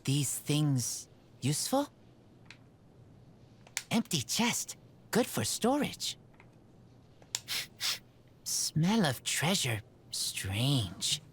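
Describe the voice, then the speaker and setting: An actor playing a blunt feral boy in a video game, speaking in halting broken phrases. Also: a little high, huge pitch swings, fairly bright tone, slight background noise, full character voice. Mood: curious, blunt.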